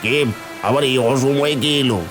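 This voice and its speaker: A man plays a proud, tight-fisted schoolteacher in a cartoon village drama. The voice sits at an ordinary male pitch, delivered as dramatic dialogue. Soft music runs behind it. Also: steady tone, normal speed, close to an everyday voice. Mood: haughty, dismissive. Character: proud, stingy schoolteacher friend, later ruined. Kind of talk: animated Bengali moral story dialogue.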